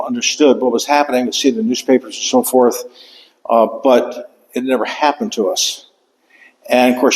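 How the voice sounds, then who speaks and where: An older man giving an author talk in a lecture room, speaking haltingly with many fillers and restarts. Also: mid-range voice, a little mellow. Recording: long hall reverb.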